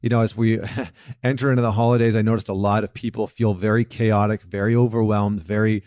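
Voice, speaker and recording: A man in his thirties talks alone to his listeners in a reflective, earnest way, often restarting a thought and leaning on fillers. His voice sits fairly low. The sound is close and dry, with no room around it. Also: flat delivery, brisk, a little mellow, dry recording. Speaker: podcast host, men's coach. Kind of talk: casual solo talk with a read passage.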